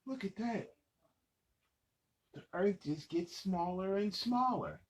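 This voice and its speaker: A man's voice: high-pitched, animated, takes it slowly. A man reading a children's storybook aloud as host, in a playful, dramatic manner, speaking to young listeners.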